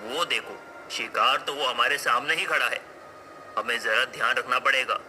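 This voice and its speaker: A man's voice: mid-range voice, moderate pace, over music, lightly acted. A man playing a proud king in a cartoon folk tale, with formal, regal phrasing. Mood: commanding. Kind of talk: cartoon story acting.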